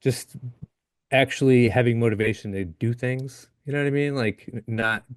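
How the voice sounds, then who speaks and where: A man in his late thirties, a guest in a casual interview, talking in a halting way with frequent restarts and easy laughs. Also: medium pitch, no room sound, clean studio sound. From Upstate New York. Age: 30s.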